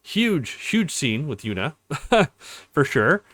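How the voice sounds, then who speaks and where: A man's voice: fairly high pitch, big pitch swings, slow pace, fairly bright tone, dry recording. A man giving casual gaming commentary as a let's play host, searching for his words as he goes.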